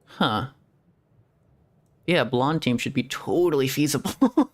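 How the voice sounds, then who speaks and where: Chatty, animated male game streamer. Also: mid-range voice, takes it slowly. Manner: rambling, chatty, laughs often. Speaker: VTuber streamer. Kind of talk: casual gameplay stream commentary. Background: faint game music.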